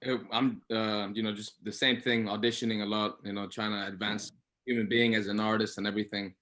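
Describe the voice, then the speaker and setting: A man's voice: lowish voice, flat delivery, brisk, pushed and bright. A man chatting casually as a panel guest, with informal, loose phrasing and repeated words. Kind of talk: casual unscripted panel talk.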